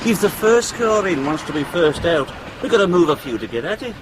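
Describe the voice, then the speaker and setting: A British actor playing a shifty, evasive car park manager in a radio drama, talking defensively and colloquially. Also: male voice, fairly high pitch, big pitch swings, brisk, noisy background. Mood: nervous, evasive. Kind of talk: broadcast radio drama acting.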